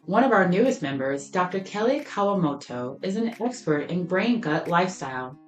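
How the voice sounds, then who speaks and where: A woman reading a short member spotlight from a script in a measured way. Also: lowish voice, expressive, soft background music. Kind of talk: scripted narration. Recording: echoey room.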